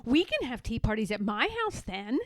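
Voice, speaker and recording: Storybook badger girl, voiced by a woman: earnest, insistent, plain-spoken, with very animated intonation. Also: a little high, normal speed, no echo, studio quality. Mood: wistful, insistent. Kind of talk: children's storybook read-aloud.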